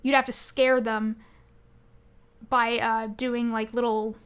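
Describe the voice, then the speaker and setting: A woman's voice: a little high, little pitch movement, slow, clean tone. A woman co-hosting a podcast, chatting casually about video games in an enthusiastic, rambling way. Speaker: podcast co-host.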